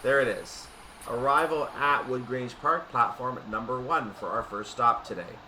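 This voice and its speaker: A man's voice: medium pitch, normal speed, bright, forceful tone, distant mic, a little hiss or hum, rough recording. A man giving casual gameplay commentary on a train simulator, thinking aloud and correcting himself as he goes. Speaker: gaming video host.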